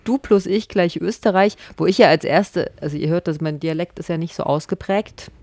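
A woman's voice: lowish voice, a little fast, darkish tone, no echo. Chatty woman, dry close mic. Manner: chatty, questioning, laughs often. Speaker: podcast co-host. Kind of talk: casual discussion.

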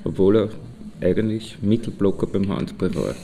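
A man's voice: lowish voice, moderate pace, creaky, dark tone. A man playing a blunt, loyal pal making short matter-of-fact remarks in a radio collage. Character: blunt male pal standing up for his friend. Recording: music behind the voice. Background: music.

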